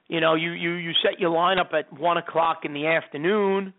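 A man's voice: on the high side, steady tone, normal speed. A man in his thirties hosting a fantasy sports radio show, rambling and repeating words as he talks. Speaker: host. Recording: tinny phone-like sound.

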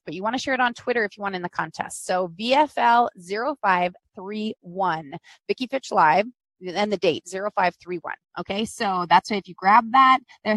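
A woman's voice: medium pitch, a bit bright, dry recording, poor audio. A woman hosting a live-streamed talk show, chatty and bubbly, greeting viewers by name and plugging links. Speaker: podcast host.

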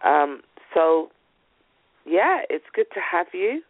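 A male radio host with a cold and a blocked-up nose, talking in a friendly, halting way with many fillers. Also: sits high, sing-song, no echo. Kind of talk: casual radio interview. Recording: tinny phone-line sound.

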